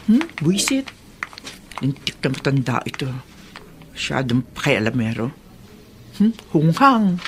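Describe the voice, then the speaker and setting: A woman's voice: extremely low pitch, very animated, unhurried, pauses often, heavy background noise, heavily acted. An actress playing a sour, falsely polite neighbor woman in a Filipino radio drama, with a snide manner. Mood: spiteful muttering.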